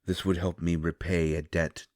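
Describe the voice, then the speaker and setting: A man playing a gentle, solemn otherworldly seer in an audio roleplay, speaking in measured, formal phrases with sorrowful reassurance. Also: deep voice, little pitch movement, no echo, clean studio sound, close to an everyday voice. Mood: calm, reassuring. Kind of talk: scripted audio roleplay, comforting.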